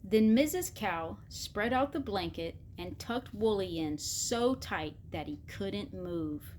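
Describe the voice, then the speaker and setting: A woman reading a children's storybook as expressive scripted narration, deliberately, pausing between short phrases. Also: medium pitch, slight background noise. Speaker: storybook reader.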